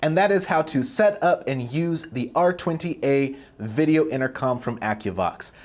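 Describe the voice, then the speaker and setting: A man's voice: medium pitch, animated, normal speed. A man presenting a product tutorial, explaining things step by step in a plain-spoken, casual way.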